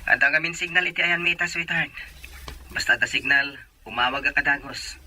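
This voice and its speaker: A man's voice: on the high side, brisk, pushed and bright, slight background noise, low-quality recording. A man playing a devoted young boyfriend and son who works away, in a radio drama. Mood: affectionate. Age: young adult.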